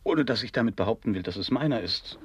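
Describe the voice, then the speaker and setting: Actor for uneasy, irritable man in absurdist radio drama: lower register, terse and dry, faint ambience behind. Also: expressive, fast talker, a little hiss or hum, natural voice. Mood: grudging, dry. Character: uneasy, grumbling, evasive man mourning his friend. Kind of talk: absurdist German radio play acting.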